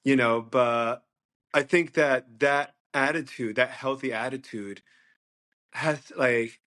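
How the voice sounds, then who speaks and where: A man's voice: medium pitch, takes it slowly, fairly bright tone. A man hosting a casual interview, talking in a rambling way with many fillers and restarts. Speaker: host, interviewer.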